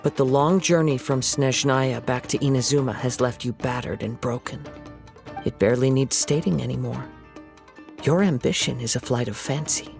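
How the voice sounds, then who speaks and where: A man voicing a calm young wandering samurai in a video game, composed and principled, speaking in a measured, formal, poetic way with a soft, light voice. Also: mid-range voice, vocal fry, soft background music, close to an everyday voice. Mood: grave, composed.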